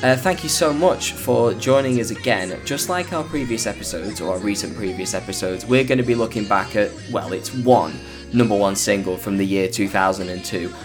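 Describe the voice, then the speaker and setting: A hoarse British man hosting a podcast, chatting casually and rambling with plenty of fillers and restarts. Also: lowish voice, moderate pace, music in the background. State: hoarse, recovering from illness. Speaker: podcast host.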